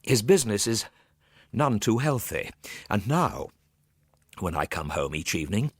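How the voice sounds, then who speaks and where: A man's voice: fairly low pitch, big pitch swings, measured pace, breathy edge, no echo, clean studio sound. A man narrating a comic ghost story in the first person, theatrically, with precise old-fashioned diction. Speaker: storyteller, actor.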